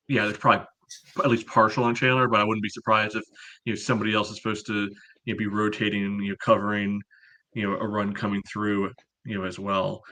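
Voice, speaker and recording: A man on a podcast panel casually analyzing a soccer match, haltingly, leaning on "you know" as a constant filler. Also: on the low side, pushed and bright. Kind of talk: casual sports analysis chat.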